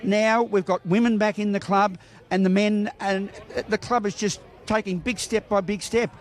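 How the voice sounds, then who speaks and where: A man with a high voice interviews club members at an outdoor bowling green for a local sports report. He is jovial and likes to tease the people he talks to. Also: fairly even intonation, moderate pace. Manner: jovial, teasing. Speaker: bowls reporter.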